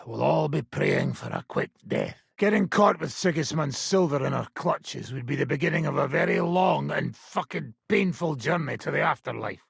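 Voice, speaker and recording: Gravelly, raspy old mercenary captain. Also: male voice, medium pitch, fairly bright tone, no room sound, clean studio sound, heavily acted. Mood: matter-of-fact. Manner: blunt, sardonic, commanding, swearing. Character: gruff, foul-mouthed ageing medieval mercenary band leader. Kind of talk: video game voice acting.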